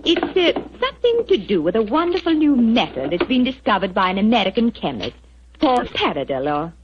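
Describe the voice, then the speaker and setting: An actress playing a sweet, gently firm young wife in a 1940s radio drama. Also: female voice, a little high, sing-song, a little fast, background noise. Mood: enthusiastic. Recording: muffled vintage 1940s radio recording.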